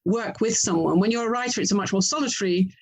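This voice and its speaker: A woman's voice: medium pitch, quick pace, breathy edge. A middle-aged woman with an English accent talking casually as an interview guest, thoughtful and chatty. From England. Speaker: writer and audio drama producer, interview guest.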